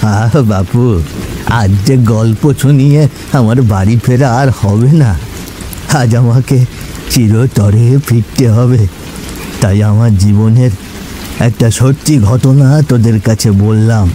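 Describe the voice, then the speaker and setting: A man's voice: medium pitch, takes it slowly, mellow, a little hiss or hum, close to an everyday voice, low-quality recording. A man voicing an old village grandfather telling his ghostly tale in a dramatic, folksy horror audio story. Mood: resigned.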